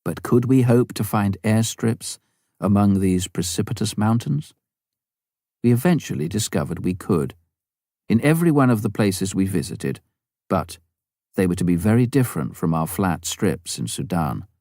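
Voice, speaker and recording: A man narrating a memoir from a script as an audiobook, in measured, clearly phrased storytelling. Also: fairly low pitch, animated, mellow, no echo, studio quality.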